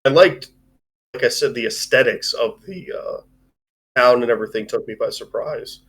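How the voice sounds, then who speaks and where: Man, mid-pitched, casual review chat, halting, rambling, loose sweary phrasing. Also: fairly bright tone, husky. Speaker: movie review show host. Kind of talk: casual chat, film discussion.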